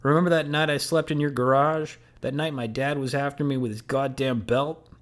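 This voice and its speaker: A man's voice: mid-range voice, steady tone, moderate pace, close to an everyday voice. A man voicing a cocky, rowdy young male teammate who talks in a brash, joking way. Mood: rueful.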